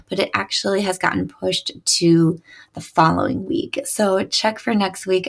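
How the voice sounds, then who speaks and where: A young woman hosting her own podcast, chatty and upbeat, with a raspy early-morning voice and lots of "uh" fillers. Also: mid-range voice. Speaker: podcast host. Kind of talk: casual solo podcast talk.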